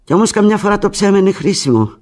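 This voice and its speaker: An actress playing an anguished, lovelorn woman pleading with her departing lover in a halting, volatile radio theatre monologue. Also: medium pitch, animated, a little mellow, close to an everyday voice. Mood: wounded, tender.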